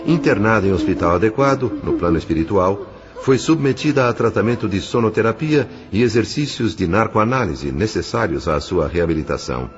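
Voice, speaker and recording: A man acting as a solemn spirit narrator in a Brazilian audio drama. His voice is very deep and his wording formal and literary. Music plays softly beneath a slightly dull recording. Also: expressive, fairly quick, close to an everyday voice. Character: solemn male spirit narrator recounting a case. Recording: slightly muffled, music underneath. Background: background music.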